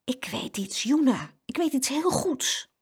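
Woman voicing a high-pitched, earnest little girl. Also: big pitch swings, normal speed. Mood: hopeful, scheming. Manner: coaxing, earnest. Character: caring, anxious young twin sister, eager peacemaker. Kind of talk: children's storybook character reading.

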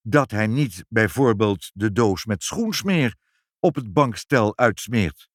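A man's voice: fairly low pitch, animated, takes it slowly, no room sound, studio quality. A man voicing a sensible father who takes charge in a children's story. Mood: doubtful. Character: sensible, urgent father taking command of the household.

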